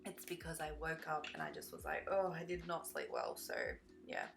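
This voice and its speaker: A young woman chatting casually over a game build in a rambling, easily sidetracked way, her voice slightly croaky from tiredness. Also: low-pitched, huge pitch swings, moderate pace, a bit bright, soft background music. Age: young adult. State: slightly croaky from lack of sleep. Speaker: gaming video creator.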